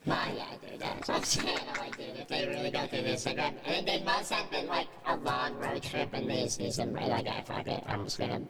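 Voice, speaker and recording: A man's voice: medium pitch, a little fast, creaky, few pauses, a loud crowd. A man chatting casually and jokingly on a podcast, slangy and profane, peppering his talk with bro and dude. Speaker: podcast guest and co-host.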